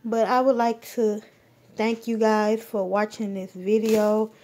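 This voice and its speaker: A woman talks through a casual grocery haul while holding her phone camera at home. She speaks slowly and loosely, full of ums and restarts, with a mellow, dark tone and a middle pitch. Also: little pitch movement, a little hiss or hum. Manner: rambling, full of ums, warm shout-outs. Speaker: vlogger. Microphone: phone camera held while filming.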